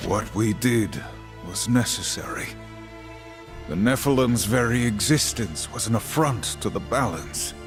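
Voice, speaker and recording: A man's voice: on the low side, animated, unhurried. A man playing a stern, dutiful horseman warrior in a video game, speaking in a solemn, formal, declamatory manner. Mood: resolute. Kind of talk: game cutscene dialogue. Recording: music behind the voice.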